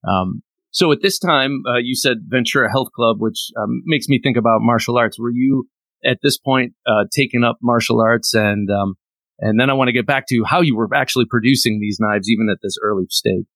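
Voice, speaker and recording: A man's voice: mid-range voice, normal speed, fairly bright tone, no room sound. Podcast host man, dry close sound. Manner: friendly, curious, loose questioning. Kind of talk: casual interview questions.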